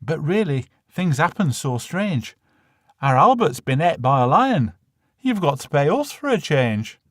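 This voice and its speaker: A working-class mother with a Northern English accent, played by a man in a comic verse story. The voice is pushed higher and moves up and down a lot. The manner is plaintive yet matter-of-fact. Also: very animated, unhurried, fairly bright tone, no echo, clean studio sound, full character voice. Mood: apologetic, frank.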